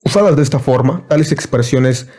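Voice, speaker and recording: A man giving a measured, formal scripted reading of a philosophy lecture. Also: mid-range voice, mellow.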